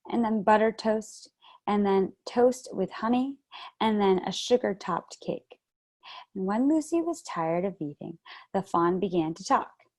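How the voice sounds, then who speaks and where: A woman reading a children's novel aloud in a calm, even storytelling manner, heard over a video call. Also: lowish voice. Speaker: children's read-aloud host.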